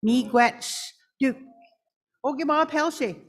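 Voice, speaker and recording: A woman's voice: high-pitched, slow pace. A woman chairing an assembly, introducing agenda items and presenters with hesitant fillers. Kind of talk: chairing a meeting. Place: large assembly hall. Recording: long hall reverb.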